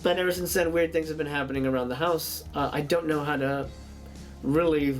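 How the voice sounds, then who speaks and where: A man with a fairly high voice hosts a casual video monologue. His delivery is hesitant, with stammered repeats and pauses. Faint music plays underneath. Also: steady tone. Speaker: video host.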